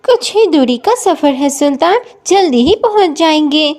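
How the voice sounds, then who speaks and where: A woman acts out a caring village mother and dutiful wife from a cartoon moral tale. Her voice is very high. She speaks in an obliging, motherly way. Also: lively intonation, moderate pace, a little mellow, a little hiss or hum. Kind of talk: Hindi moral story cartoon dialogue.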